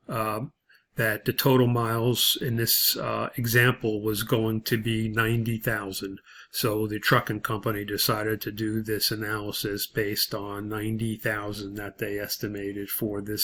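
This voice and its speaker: A man giving a step-by-step tutorial as an instructor, speaking haltingly with frequent pauses and hesitations. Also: lowish voice, a bit bright. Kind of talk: spreadsheet tutorial, explaining step by step.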